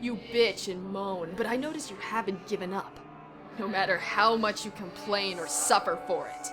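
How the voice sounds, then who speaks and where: A woman's voice: medium pitch, moderate pace, close to an everyday voice. A woman voicing an evil mirror-self cyborg pony mare in a story reading, mocking and savage, ranting with profanity. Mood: furious. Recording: music bed behind the voice.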